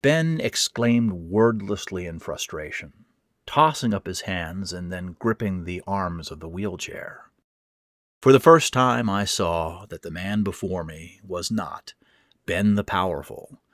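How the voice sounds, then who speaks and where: A man's voice: low voice, no room sound, clean studio sound. A man reading his own horror fiction as a scripted, dramatic first-person narration.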